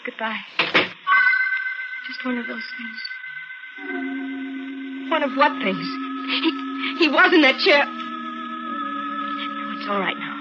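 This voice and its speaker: An actress playing a shaky, frightened young woman in a 1940s radio drama, with halting, stammering delivery. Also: sits high, wildly swooping pitch, full character voice. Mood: anxious. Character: frightened, shaky young woman insisting she saw a corpse. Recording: muffled old radio broadcast with music.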